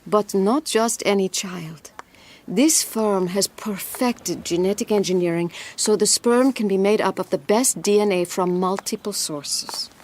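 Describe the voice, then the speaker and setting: A woman's voice: mid-range voice, unhurried, darkish tone, close to an everyday voice. An actress playing a confident, persuasive woman in an audio drama, reassuring and insistent. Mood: coolly confident. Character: persuasive, coolly confident woman pushing scientific conception.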